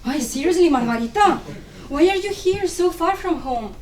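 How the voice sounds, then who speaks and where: Woman as lively, reproachful Cuban confidante for stage drama; distant, reverberant pickup with slight noise. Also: extremely high pitch, moderate pace. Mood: chiding. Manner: chiding, mixes in Spanish endearments. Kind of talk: dramatic play reading. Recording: echoey room, distant mic, faint noise.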